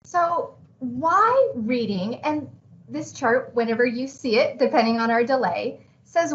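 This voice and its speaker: A woman teacher presenting a webinar in a conversational, encouraging and reassuring way. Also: a little high. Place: remote online video call. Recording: dull online webinar audio with background noise.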